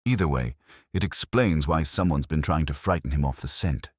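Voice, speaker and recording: Guy doing a measured police inspector in a detective story, really low voice, dry sound. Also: no echo. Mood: firm, decisive. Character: courteous, quietly incisive male police inspector.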